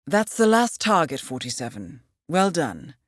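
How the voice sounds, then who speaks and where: A woman voicing a composed, coolly professional intelligence handler in a video game, briefing an assassin in a measured, formal, understated manner. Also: low-pitched, very animated, no room sound, studio quality, full character voice. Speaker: mission handler. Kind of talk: scripted video game mission briefing voice-over.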